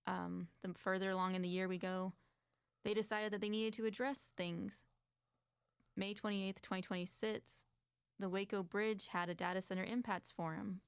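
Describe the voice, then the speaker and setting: A woman's voice: medium pitch, little pitch movement, normal speed, gravelly, smooth, dry recording, clean studio sound. A woman co-hosting an advocacy talk show, chatting casually and reading documents aloud with commentary. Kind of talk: casual discussion.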